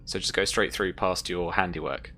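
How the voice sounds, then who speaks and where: A woman game master with a very low, bright voice. She narrates casually, as if talking to friends round a table, with some noise on the recording. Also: normal speed. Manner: casual, conversational.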